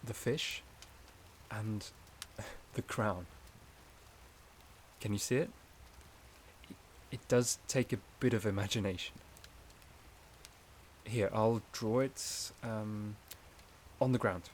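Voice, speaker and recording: Gentle, low-voiced, hesitant young forest companion, acted by a man. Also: fairly even intonation, slow pace, long pauses, slight background noise. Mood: quietly enchanted. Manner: gentle, hesitant, slightly stammering. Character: Kit, gentle, shy forest-dwelling man, caring companion. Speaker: ASMR roleplay performer. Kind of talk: soft-spoken ASMR roleplay storytelling.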